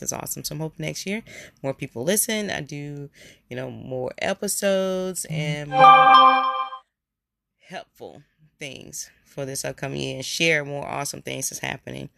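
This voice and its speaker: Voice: mid-range voice, steady tone, normal speed, vocal fry, pushed and bright. A podcast host with a cold, plainly congested, talking in a rambling, heartfelt solo episode. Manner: rambling, heartfelt, repeats words. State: stuffy, congested nose from a cold. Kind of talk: casual solo podcast monologue.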